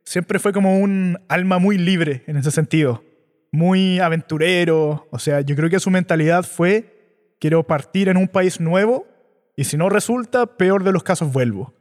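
A man with a Chilean accent, a startup founder, telling his story in a relaxed, reflective interview. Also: high voice, unhurried, in a large hall. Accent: Chilean Spanish. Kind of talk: conversational interview.

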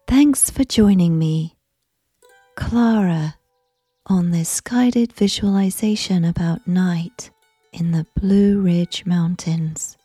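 A woman softly narrating a guided sleep visualization in a breathy, often near-whispered voice with a gentle, unhurried, soothing delivery. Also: fairly low pitch, no echo, faint music behind. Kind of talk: slow scripted sleep visualization, soft and whispery.

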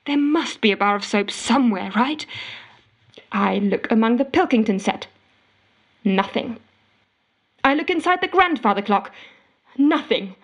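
A woman's voice: a little high, expressive, unhurried, long pauses. An actress playing an exasperated, frantic office worker, telling her woes melodramatically in an audio drama voicemail monologue. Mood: frustrated. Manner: melodramatic, indignant storytelling with sarcastic quoting. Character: exasperated, frantic office woman ranting to a colleague.

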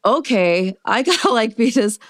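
A woman born in Pakistan and raised in the United States, telling stories in a casual interview, chatty and animated with lots of fillers. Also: a little high, moderate pace, no echo. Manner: animated storyteller with frequent fillers and laughs. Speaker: interview guest.

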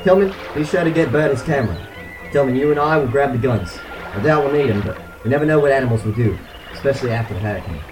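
A man's voice: mid-range voice, expressive, fast, recorded from a distance, noisy background, lightly acted. A man playing a wary, commanding leader of a diving team in an old-style radio drama. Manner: commanding, businesslike. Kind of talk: 1930s-40s style radio drama acting.

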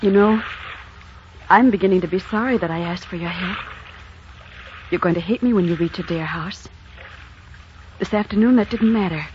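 An actress playing an earnest, troubled young heroine with a secret, in theatrical 1940s radio style. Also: female voice, on the low side, moderate pace, pauses often, close to an everyday voice. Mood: anguished. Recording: dull, slightly noisy 1940s radio recording.

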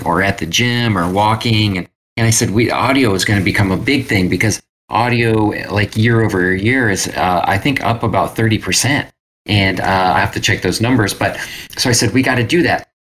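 A man with a slightly low-pitched voice talks as an interview guest. His delivery is casual and anecdotal, like someone explaining things in conversation. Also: a bit bright.

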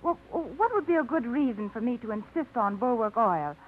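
A woman's voice: fairly high pitch, expressive, moderate pace. A wry, restless young woman. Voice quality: dry, wry wit. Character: wry, independent young woman, tired of routine. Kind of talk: 1950s radio drama acting. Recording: tinny old radio broadcast.

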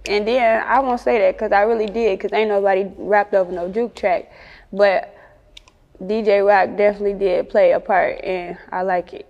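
A woman's voice: mid-range voice, steady tone, moderate pace, darkish tone, long reverb tail, dull audio. A woman with a Chicago accent chatting casually in an interview as a rapper, with slangy, sweary talk. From Chicago, United States.

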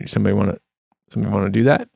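Creaky male podcast host, dry sound. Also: fairly low pitch, rapid-fire, dry recording. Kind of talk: casual comic banter and introduction.

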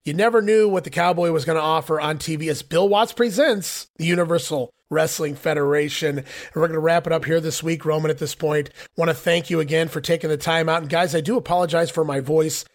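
A man hosting a podcast, recapping in casual chat with a hoarse voice. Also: on the high side, a little fast. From northeastern Ohio. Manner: recapping, wraps up the show. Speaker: podcast host.

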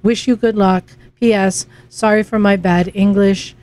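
A woman co-hosting a casual motorcycle podcast, playfully reading a listener email aloud in halting bursts. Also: mid-range voice, fairly even intonation, darkish tone. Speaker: podcast co-host. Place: garage with a fan running.

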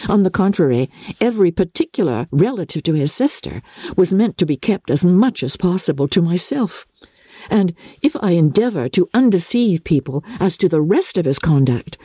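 A woman's voice: on the low side, mellow, husky, no echo, studio quality. A woman voicing an earnest, sensible young woman who speaks formally and emphatically in a dramatic novel reading.

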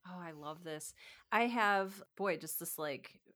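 A woman's voice: fairly low pitch, unhurried, fairly bright tone. A woman podcast host with an enthusiastic, effusive manner, speaking in a conversational interview style.

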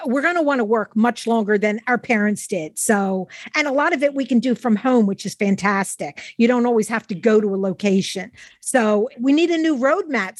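Higher-voiced woman hosting, dry close sound. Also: no echo. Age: middle-aged or older. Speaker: podcast host. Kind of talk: conversational interview.

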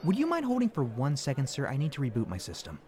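A man's voice: medium pitch, wildly swooping pitch, fast talker, music in the background. A man playing a cocky, argumentative call-center worker in an audio comedy. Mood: polite, patronizing. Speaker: call center customer service agent. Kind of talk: audio fiction comedy acting.

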